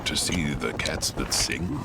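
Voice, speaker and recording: An actor playing a solemn, grandiose god-like warrior in a video game, with stately archaic phrasing. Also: male voice, very deep voice, takes it slowly, full character voice. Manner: stately, mock-Shakespearean phrasing. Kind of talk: video game character acting. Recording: loud engine and ambient noise.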